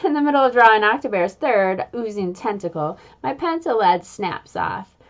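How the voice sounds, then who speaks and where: A woman reading a children's book aloud in an expressive, playful way, adding little sound effects. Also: mid-range voice, moderate pace. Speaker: reader.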